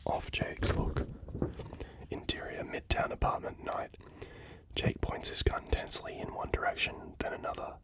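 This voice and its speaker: A reader whispering screenplay stage directions in a hushed, unvoiced voice. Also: moderate pace, low-quality recording.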